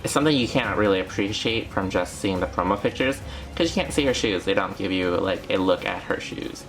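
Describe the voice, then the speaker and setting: A man's voice: sits low, lively intonation, brisk, a bit bright, faint music behind. A man co-hosting a review channel, explaining product details in an informal, hedging, chatty way. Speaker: doll review channel co-host. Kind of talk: casual product review chat.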